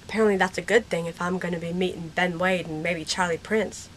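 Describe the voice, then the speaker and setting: A woman's voice: on the low side, fairly even intonation, fairly quick, lightly acted. A woman playing a stubborn, sassy, self-reliant teenage frontier girl in an amateur audio drama. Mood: breezy, defiant.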